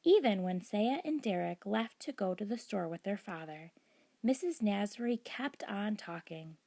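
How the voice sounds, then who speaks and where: A woman reading a children's book aloud as narrator, in a deliberate, expressive storytelling manner. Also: mid-range voice, gravelly. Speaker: storybook reader.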